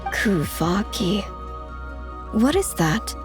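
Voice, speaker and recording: An ancient moon goddess in a game cutscene. She is grave and formal, with a measured medium-pitched voice over soft background music. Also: big pitch swings. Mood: curious. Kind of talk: fantasy game cutscene acting.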